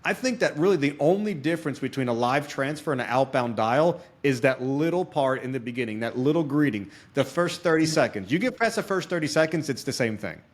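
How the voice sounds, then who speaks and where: A man's voice: medium pitch, lively intonation, brisk, hardly any pauses. A man hosting a podcast interview, explaining things conversationally and often checking in with his listener. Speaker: podcast host and experienced salesman.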